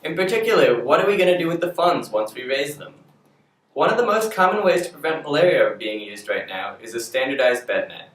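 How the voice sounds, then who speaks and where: A man in his twenties, a university student, delivering a scripted pitch with animated rhetorical questions. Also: a little fast. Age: 20s. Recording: roomy, distant recording.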